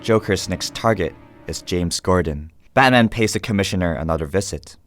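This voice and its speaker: An actor playing the narrator of a comic-book radio drama, reading scripted scene links. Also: male voice, low voice, moderate pace, soft background music, close to an everyday voice. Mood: dramatic. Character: deep-voiced male comic-book serial narrator.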